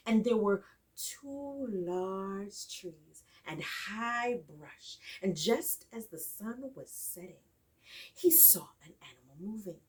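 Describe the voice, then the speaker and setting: Animated woman storyteller, heard from a distance. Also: fairly high pitch. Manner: dramatic, deliberate phrasing with emphatic repetition. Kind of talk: expressive oral folktale storytelling. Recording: distant microphone.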